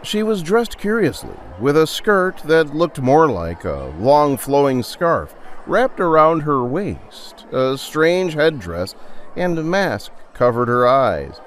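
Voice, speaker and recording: A man narrating a dramatic audio story in a theatrical, deliberate and suspenseful way. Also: a little high, slight background noise. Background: music.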